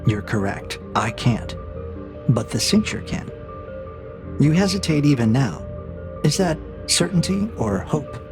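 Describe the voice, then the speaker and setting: An actor playing a cold, calculating interrogator AI in an audio drama, with a measured, probing and quietly menacing manner. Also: male voice, fairly high pitch, big pitch swings, over music. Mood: cold, undermining. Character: cold, calculating male interrogator AI breaking a prisoner. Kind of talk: scripted audio drama acting.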